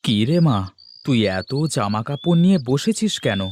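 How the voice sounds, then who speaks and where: A man voicing a kind, caring father in a cartoon, gentle and concerned. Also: medium pitch, very animated, moderate pace, a little hiss or hum, lightly acted. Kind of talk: cartoon voice acting.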